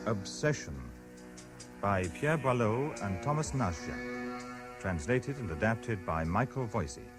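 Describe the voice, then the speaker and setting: A male announcer introduces a vintage radio play in a formal style. His voice is lowish and varied in pitch. Music plays clearly behind him. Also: lively intonation, a little slow. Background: prominent music.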